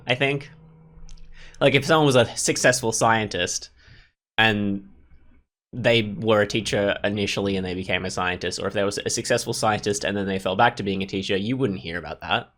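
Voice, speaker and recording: A man with a fairly low voice hosts a casual let's play. He thinks out loud, rambling and stopping and starting as he goes. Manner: rambling, halting, thinks aloud. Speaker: gaming video host.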